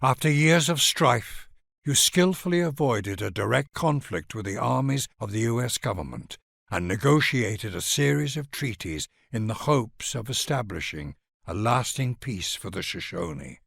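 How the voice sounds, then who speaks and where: A man's voice: mid-range voice, expressive, a little slow, vocal fry, a bit bright, no room sound, studio quality. A man delivering scripted dramatic narration for a video game, addressing a ruler in a solemn, grandiose and formal manner. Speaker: narrator of game leader introductions.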